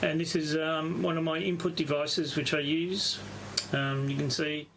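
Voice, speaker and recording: Creaky-voiced man, halting, noisy recording. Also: on the high side, little pitch movement, fairly bright tone. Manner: halting, unscripted, thinking aloud. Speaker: amateur radio operator presenting his station. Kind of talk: casual live walkthrough.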